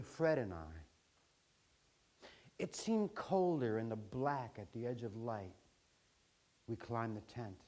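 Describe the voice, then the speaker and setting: A man performing an autobiographical spoken-word story with theatrical, deliberate phrasing and wry asides. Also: a little high, a little mellow. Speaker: storyteller performing his own account.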